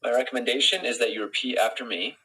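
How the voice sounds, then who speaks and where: A young man from Utah with a General American accent, teaching a live English pronunciation lesson in a relaxed, friendly way and greeting viewers from the chat. He sounds close to a phone camera in a small indoor room. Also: mid-range voice, fast, slightly rough, poor audio. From Utah, United States. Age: young adult. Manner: relaxed, friendly, explains step by step with fillers.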